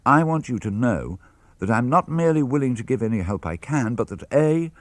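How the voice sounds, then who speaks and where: A man reading a father's letters aloud for a radio broadcast, in a measured, expressive manner with crisp phrasing. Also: mid-range voice, no echo. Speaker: reader of letters.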